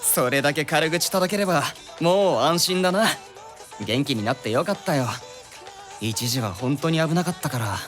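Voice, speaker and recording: An actor playing a caring, good-natured young detective's assistant in an audio drama, casual and expressive. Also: male voice, a little high, normal speed, soft background music. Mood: relieved concern. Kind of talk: light audio drama acting.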